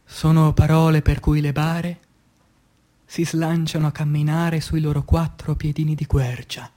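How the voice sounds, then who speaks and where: A man with a slightly high voice recites verse for radio theatre at a very unhurried pace. His delivery is solemn and declamatory, with halting, deliberate pauses between short phrases. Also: little pitch movement, dark tone. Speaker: actor reciting poetry. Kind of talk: slow dramatic poetry recitation for radio theatre.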